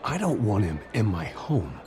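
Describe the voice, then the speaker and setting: An American man playing a brooding, guarded young billionaire in a video game, in a deep, gravelly voice. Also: very animated, moderate pace, a crowd in the background. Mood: firm, guarded.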